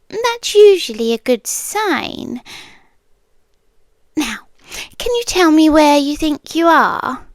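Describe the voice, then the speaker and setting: A British woman playing an eerily calm, soothing doctor with a hidden possessive streak, in a soft, breathy, whispered ASMR roleplay, close to the mic. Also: high-pitched, no room sound. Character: softly menacing, falsely soothing female hospital doctor, possessive girlfriend. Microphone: close, dry ASMR microphone.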